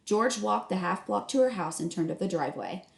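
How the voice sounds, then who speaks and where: A woman's voice: mid-range voice, animated, brisk, creaky. Female teacher, mid-range voice, narrating a storybook and quizzing listeners instructively, in a reverberant room. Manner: instructive, questioning. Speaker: teacher reading aloud. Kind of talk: read-aloud narration with questions to students. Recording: echoey room.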